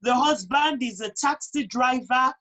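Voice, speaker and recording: A woman's voice: sits high, unhurried, fairly bright tone, low-quality recording. A woman with a Nigerian accent speaking emphatically as a talk show guest, repeating phrases to drive her points home. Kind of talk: conversational interview, personal advice.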